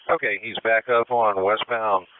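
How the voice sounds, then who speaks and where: A man's voice: lowish voice, steady tone, measured pace, narrow, tinny audio, a little hiss or hum. A man on a police helicopter crew, calling out positions over the radio and repeating each location twice. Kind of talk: radio traffic callouts.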